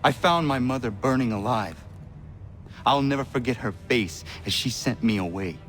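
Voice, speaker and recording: An actor playing a solemn, blunt young assassin, an earnest and brooding son in a video game. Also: male voice, mid-range voice, lively intonation, normal speed, a bit bright, faint music behind, lightly acted. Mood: bitter, accusing. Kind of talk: video game cutscene acting.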